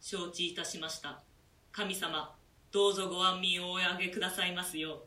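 A man's voice: high voice, flat delivery, sharp tone, reverberant, distant mic. A man playing a polite, deferential jailer who speaks in formal, servile language. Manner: formal servile speech, measured.

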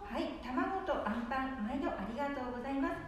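A woman playing a bright, courteous mobile grocery seller in an amateur radio drama. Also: high voice, lively intonation, fast talker. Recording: very echoey room, distant mic, clear noise.

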